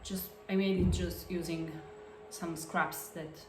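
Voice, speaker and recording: A woman with an Italian accent, a native Italian speaker, hosting a casual crafts podcast and showing her projects in halting English full of fillers and restarts. She records at home. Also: on the low side, level tone, background noise. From Italy. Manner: hesitant, halting, many fillers and self-corrections. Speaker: podcast host. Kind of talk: casual show-and-tell of crafts. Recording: echoey room.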